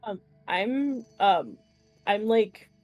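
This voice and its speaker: A woman's voice: mid-range voice, lively intonation, extremely slow, darkish tone, no echo, soft background music. A woman chatting casually and playfully as a player in a tabletop roleplaying game.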